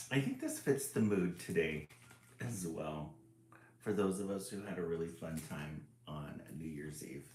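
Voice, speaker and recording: A man's voice: deep voice, lively intonation, slow pace, pauses often, darkish tone, reverberant. A man hosting a casual read-aloud stream, chuckling and greeting viewers by name as he reads a children's book.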